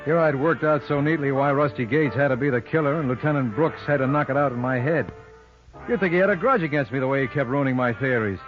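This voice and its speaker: A man's voice: medium pitch, quick pace, over music, natural voice. A man playing a wisecracking, cocky lawyer-sleuth in a glib, sardonic 1950s radio style, on a muffled old recording. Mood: smug narrating. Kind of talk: 1950s radio detective drama acting.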